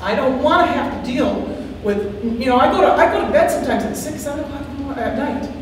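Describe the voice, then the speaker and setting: A woman with a loud voice giving earnest, worried public comment at a town board hearing, repeating her points. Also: sits high, animated, fast talker. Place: large town meeting hall, far from the mic. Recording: echoey hall, distant mic, faint hiss.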